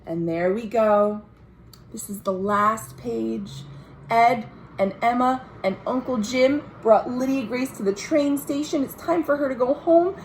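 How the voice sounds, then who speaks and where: A woman's voice: on the high side, a little slow, slight background noise, poor audio. An American woman reading a picture book to young children, explaining it simply and expressively as a librarian at storytime. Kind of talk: children's picture-book read-aloud with commentary. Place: recorded at home.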